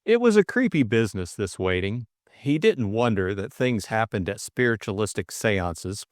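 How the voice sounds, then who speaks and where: A man's voice: on the low side, sing-song, normal speed, no echo, clean studio sound. A man narrating a novel as a scripted audiobook, reading expressively.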